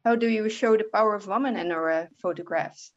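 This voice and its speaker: A woman's voice: medium pitch, a little fast, dull audio. A woman hosting a casual podcast chat, friendly, with some fillers and hesitations. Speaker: podcast host.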